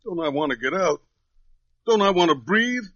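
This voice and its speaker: Jailed drifter, pleading, resigned, unschooled folksy speech, bright tense tone, dry dull vintage radio sound. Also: male voice, high-pitched, animated, moderate pace, no room sound. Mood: bitter, resigned. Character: despairing wrongly jailed young drifter, pleading, plain-spoken. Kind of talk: 1950s radio drama acting. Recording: dull lo-fi old radio recording.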